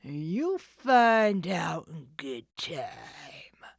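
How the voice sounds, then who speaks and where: A woman's voice: medium pitch, expressive, unhurried, dry recording, clean studio sound. A woman voicing a snarling, sneering male thief who leads a gang of crooks, threatening and hard. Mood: menacing whisper.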